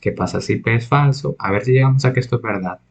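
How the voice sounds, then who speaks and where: A man's voice: mid-range voice, quick pace, vocal fry. Man explaining logic step by step. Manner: patient, explains step by step, reads examples aloud. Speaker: math teacher. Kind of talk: recorded logic lecture.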